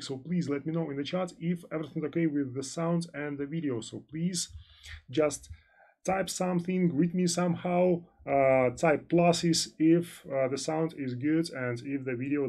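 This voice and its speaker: A man's voice: a little high, moderate pace, darkish tone, slightly rough. A man with a slightly raised voice hosts a live trading stream as a financial analyst. He talks in a loose, rambling way, stacking "so" and "well" and restarting his sentences as he answers viewers' chat messages between explanations.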